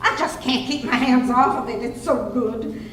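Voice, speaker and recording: A woman's voice: fairly high pitch, normal speed, a bit bright, lightly acted. A woman playing a teasing, sensible, long-suffering wife in old-time radio comedy, with a dry, fondly scolding manner. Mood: chatty, teasing. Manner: dry, wry, fond scolding. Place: clubhouse hall, live radio play performance. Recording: echoey live hall recording, faint noise.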